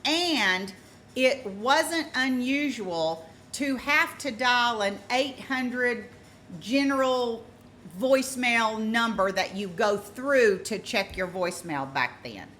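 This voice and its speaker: A woman giving informal true-crime commentary as a vlogger, emphatic and deliberate, repeating points for stress. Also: a little high, lively intonation, fairly bright tone, a little hiss or hum.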